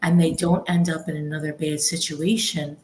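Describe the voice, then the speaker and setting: A woman with a notably low voice hosts a live question and answer session. She puts questions to her guest and thinks aloud as she talks, in halting phrases. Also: little pitch movement, a little mellow, a little hiss or hum. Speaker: host. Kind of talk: live Q&A interviewing.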